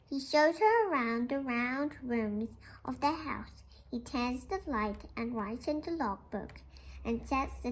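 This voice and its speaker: A young girl reads a children's picture book aloud, explaining it and asking questions like a little teacher. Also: high voice. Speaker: young storybook reader.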